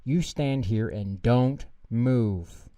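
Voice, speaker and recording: A man's voice: mid-range voice, extremely slow, warm tone, lo-fi sound. A man voicing an exasperated father scolding and pleading with his young daughter in a storybook reading, stern and flustered. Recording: roomy echo, distant mic.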